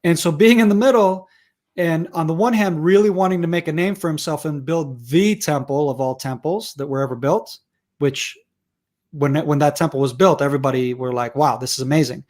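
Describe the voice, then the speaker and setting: Middle-aged guy from israel, hebrew's his first language, kinda high voice, emphatic, keeps restarting words. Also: fairly quick, a little mellow. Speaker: guest expert. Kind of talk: unscripted interview answer.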